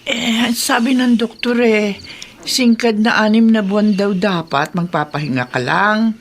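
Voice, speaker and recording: A woman playing a worried, gently scolding elderly mother in a Filipino radio drama, fretful and coaxing. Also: mid-range voice, unhurried. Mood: fretful concern.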